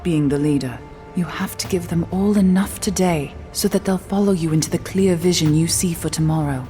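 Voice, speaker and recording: An older actress playing a solemn, tender female leader in a sci-fi audio drama, speaking in a grave, measured way. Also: on the low side, mellow, soft background music, lightly acted.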